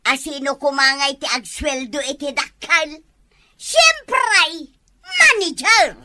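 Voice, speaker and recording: A woman's voice: high voice, animated, takes it slowly, bright, forceful tone, exaggerated voice. A woman playing a bragging village wife. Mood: boastful. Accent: Ilocano. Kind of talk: comic drama acting.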